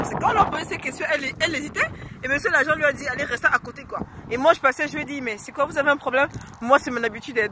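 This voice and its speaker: A woman with the flu vlogging outdoors by a lake, chatting casually and rambling with many fillers and self-corrections. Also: on the high side. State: has the flu. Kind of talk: casual vlog advice. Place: outdoors by a lake in freezing wind. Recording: loud wind noise outdoors.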